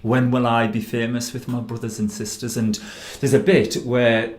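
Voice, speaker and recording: A young man with a Geordie accent, a singer guest in a radio studio, talking fast and giddily with frequent laughs. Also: mid-range voice, little pitch movement. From North East England. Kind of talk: excited chatty interview.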